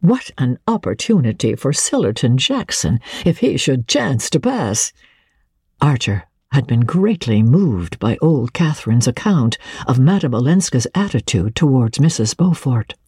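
A woman reads classic literature in a dark, husky voice. Her delivery is dramatic and deliberate, with a widely varied melody that shapes each phrase. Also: a bass voice, no echo, studio quality. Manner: measured, expressive, deliberate phrasing. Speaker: audiobook narrator.

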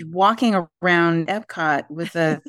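A woman's voice: fairly low pitch, measured pace, a bit bright, clean tone, dry recording, studio quality. A woman guest on a podcast, chatting and musing aloud, often trailing off and restarting her thoughts. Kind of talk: casual chat.

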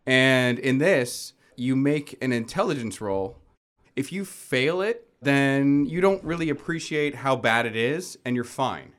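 Male game master, mid-pitched, informal and wry, explaining rules conversationally on a podcast. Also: expressive, unhurried, a bit bright.

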